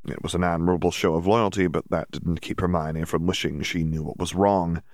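Low-voiced man narrating fiction. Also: steady tone, brisk, a little mellow, no echo, studio quality. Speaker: audiobook narrator.

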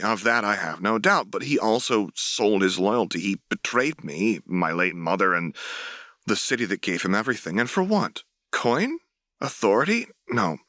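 A man voicing an earnest, self-effacing young military officer in a formal, measured way for a dramatic audiobook. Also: on the low side, pushed and bright, dry recording, studio quality. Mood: earnest, pained. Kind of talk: dramatic audiobook dialogue reading.

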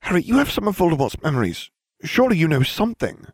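A man's voice: sing-song, fast, husky, dry recording, studio quality. A man voicing a clever, bossy teenage girl in an audiobook, prim, exasperated and insistent. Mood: knowing. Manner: insistent, prim, high-pitched. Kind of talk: audiobook character voice.

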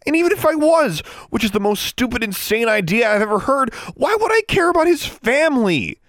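An audiobook character: a defiant twelve-year-old girl, acted out by a man, pitched far above normal speech. She is indignant and quick-tempered, snapping her retorts with wildly swinging intonation in a dry recording. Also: very animated, fairly bright tone, no room sound, full character voice. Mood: outraged.

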